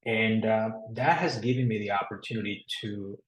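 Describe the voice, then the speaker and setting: A man's voice: fairly low pitch, moderate pace. A middle-aged man from Colombia, a native Spanish speaker with a Colombian accent, telling his story on a webinar panel. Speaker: broadcast professional, panel guest. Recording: roomy, echoey sound.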